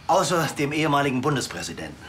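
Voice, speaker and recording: A man's voice: medium pitch, sing-song, very fast, a bit bright, slight background noise. An actor playing an earnest male crime writer, formal, hesitant and effusive, in a TV comedy. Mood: flattered, awed. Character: earnest, self-important male crime novelist.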